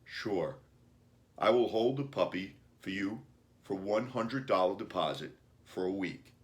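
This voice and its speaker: Man playing a slow-talking, helpful shop clerk. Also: deep voice, animated. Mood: friendly, helpful. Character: friendly, helpful male pet store clerk.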